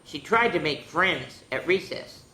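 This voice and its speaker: A woman's voice: deep voice, lively intonation, unhurried, bright, forceful tone. A woman hosting a children's story time, reading picture books aloud with chatty, playful asides. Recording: roomy with background noise.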